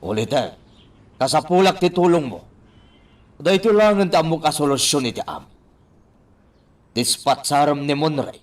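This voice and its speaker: A man's voice: on the high side, animated, moderate pace, pauses often, lightly acted. A man acting as a desperate, scheming character in an Ilocano radio drama, insistent and persuasive with an Ilocano accent. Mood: apologetic, coaxing. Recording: faint background noise.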